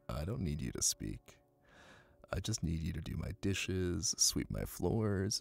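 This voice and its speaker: An exceptionally deep, crackling voice from a male actor plays a smug, dominant hypnotist boyfriend in an ASMR roleplay. He coaxes and teases intimately, unhurried and breathy, yet soothing. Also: darkish tone, heavily acted. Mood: teasing, amused. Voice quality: gravelly, breathy. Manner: slow, coaxing, teasing.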